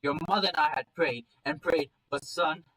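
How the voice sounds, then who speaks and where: A man's voice: fairly high pitch, normal speed, bright, forceful tone, voice pushed up, poor audio. A stern, disapproving animal father in a story, acted by a man. He scolds and accuses in a domineering, hateful manner. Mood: disgusted anger.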